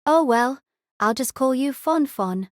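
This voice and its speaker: A narrator voicing a calm, emotionless young woman who teases in a flat, even tone. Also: high-pitched, a little slow, dry recording, studio quality, voice pushed up. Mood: teasing.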